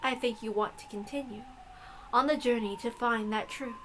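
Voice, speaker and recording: A woman voicing an ancient, frail, haughty witch from a visual novel, speaking quietly in measured, formal, imperious phrases. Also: on the high side, steady tone, slight background noise, natural voice, low-quality recording. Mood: serene, superior. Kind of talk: visual novel read-aloud.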